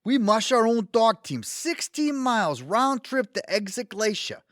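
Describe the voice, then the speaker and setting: A man's voice: sits high, big pitch swings, a little slow, fairly bright tone, dry recording, clean studio sound, heavily acted. Man playing an eager, swooping Brooklyn sweetheart. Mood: excited. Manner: enthusiastic, folksy, colloquial. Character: eager, sweet, older Brooklyn man wooing his date. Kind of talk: audio theatre comedy acting.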